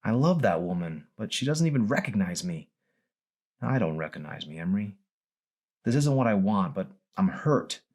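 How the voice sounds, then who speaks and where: A man's voice: fairly low pitch, very animated, normal speed, a little mellow. A man reading as a weary, defensive alcoholic father in an audiobook, pleading and confessional. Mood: pained, honest.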